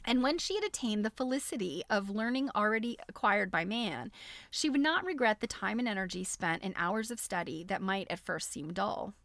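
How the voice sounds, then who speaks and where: A woman hosting a live stream, reading a book aloud in an expressive, amused way with chatty, casual asides. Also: mid-range voice, moderate pace, creaky, dry recording, studio quality.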